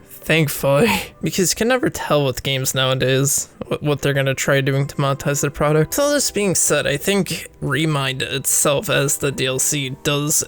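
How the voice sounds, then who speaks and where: A man's voice: a little high, animated, normal speed, fairly bright tone, clean tone, faint music behind. A young man with a cold, rambling through casual gaming commentary and laughing often at himself. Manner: rambling, laughs often, self-deprecating asides. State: sick, voice rough. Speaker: gaming video creator.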